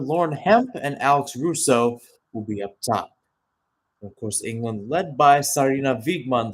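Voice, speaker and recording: Male football play-by-play commentator. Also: medium pitch. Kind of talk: live radio-style sports commentary.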